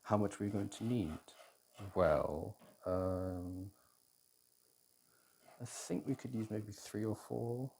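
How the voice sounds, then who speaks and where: Low-voiced British man, casual cooking ASMR. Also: vocal fry, darkish tone, a little hiss or hum. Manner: hesitant, unhurried, thinking aloud. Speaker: audio roleplay performer playing a boyfriend cooking. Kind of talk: intimate ASMR roleplay, casual chat while cooking. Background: kitchen food preparation sounds.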